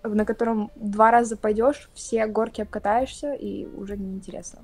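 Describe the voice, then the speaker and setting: A young woman from Russia chatting casually on a video call, talking with lively hand gestures. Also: medium pitch, moderate pace, gravelly, soft background music. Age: young adult. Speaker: guest. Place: indoor room, plain wall.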